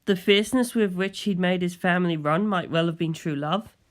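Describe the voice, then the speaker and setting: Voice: medium pitch, brisk. A narrator reading a scripted story in the first person.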